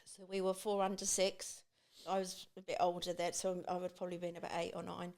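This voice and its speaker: A woman's voice: fairly low pitch, flat delivery, brisk, darkish tone. Chatty East Anglian woman telling stories. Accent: East Anglian English. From Great Yarmouth, England. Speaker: podcast guest, beach hut business owner. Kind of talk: chatty personal storytelling.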